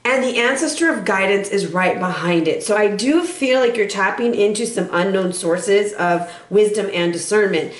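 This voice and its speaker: A woman giving a casual, sassy tarot forecast, chatty and playful, a little hoarse from recovering from bronchitis. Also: medium pitch, expressive, moderate pace, few pauses. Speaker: tarot reader. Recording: echoey room.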